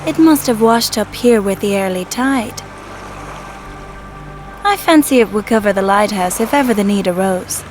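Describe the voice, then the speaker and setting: A woman reads poetry at an ordinary pitch, measured and deadpan. Music plays clearly behind her voice. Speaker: poetry reader. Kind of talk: scripted poetry reading.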